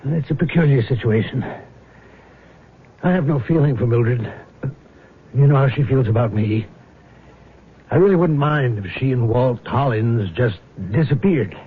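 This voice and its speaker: An actor playing a middle-aged, self-deprecating rich husband in a radio drama, hesitant, courteous and cryptic, with a husky voice. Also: male voice, mid-range voice, animated, measured pace, pauses often. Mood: weary, ashamed. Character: troubled wealthy betrayed husband, secretive and self-deprecating godfather. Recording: muffled old radio drama recording.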